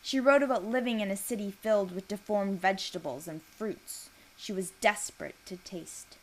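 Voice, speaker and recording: A woman reading a first-person literary piece aloud, with expressive, deliberate delivery and dramatic emphasis. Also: mid-range voice, poor audio.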